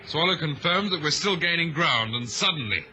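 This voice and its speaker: A man's voice: on the high side, expressive, moderate pace, bright, forceful tone, low-quality recording. An actor playing a loyal, dutiful chief officer in an old radio drama, reporting earnestly and deferentially to his superior. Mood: relieved. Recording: faintly hissy vintage radio recording.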